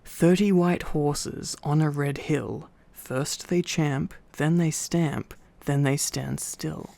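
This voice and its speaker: A man's voice: a little high, takes it slowly, gravelly, mellow, no room sound. A man voicing a polite, anxious little hobbit, careful and eager to agree, in a storybook reading. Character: polite, anxious little male hobbit, well-mannered adventurer. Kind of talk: storybook character voice in ASMR reading.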